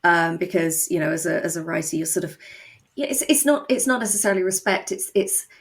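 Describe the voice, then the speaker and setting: Low, creaky-voiced woman. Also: a little fast. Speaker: guest, audio drama writer and novelist. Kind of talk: casual interview chat.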